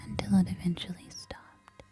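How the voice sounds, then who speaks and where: A woman playing an unhinged, war-weary apocalypse survivor in a slow, breathy ASMR roleplay whisper. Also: fairly low pitch, level tone, close to an everyday voice. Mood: haunted, somber. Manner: slow, hushed, halting delivery in short phrases.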